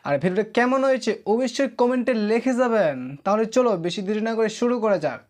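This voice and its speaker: A woman's voice: fairly high pitch, lively intonation, quick pace, darkish tone. A woman giving a scripted intro as host of a video channel.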